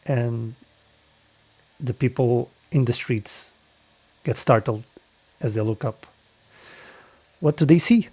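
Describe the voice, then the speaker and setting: A man running a tabletop game, setting a dark scene in slow, unhurried narration. He talks in a halting way, pausing between phrases. His voice sits at a middling pitch. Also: breathy edge. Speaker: dungeon master.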